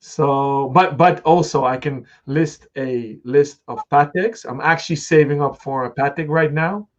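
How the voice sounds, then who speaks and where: A middle-aged man hosting a conversational interview, talking in a halting, thinking-aloud way on a slightly lo-fi recording. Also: a little high, a little hiss or hum.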